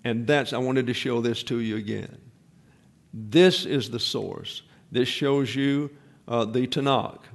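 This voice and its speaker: A man's voice: mid-range voice, gravelly. A man preaching a Bible teaching sermon from the pulpit, explaining patiently, leaning hard on key points, with frequent fillers and restarts. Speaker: pastor-teacher.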